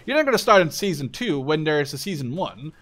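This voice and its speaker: A man in his twenties with a fairly high-pitched voice talks over a video game. He rambles casually as the host of a let's play, circling back over his own phrases and swearing offhandedly. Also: sing-song, brisk, a bit bright. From Netherlands. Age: 20s.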